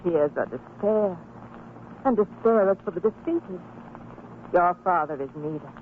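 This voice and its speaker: A woman's voice: lowish voice, expressive, measured pace. An actress playing a devout, firm and hopeful wife and mother in a religious radio drama, speaking with earnest, formal exhortation. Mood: resolute, consoling. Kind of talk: old-time religious radio drama acting. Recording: tinny, band-limited old radio recording, noisy.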